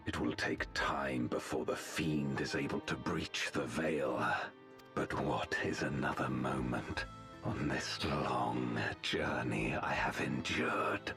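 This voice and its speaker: An actor playing a menacing ancient male villain in a video game, speaking slowly and gravely. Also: sharp tone, heavily acted. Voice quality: deep gravelly. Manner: slow, grave delivery.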